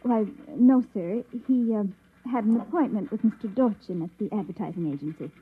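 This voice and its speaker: An actress playing a polite, coy office secretary in an old-time radio drama, with some huskiness. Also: female voice, medium pitch, a little fast, slightly rough. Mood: polite, evasive. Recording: thin, phone-like old radio recording.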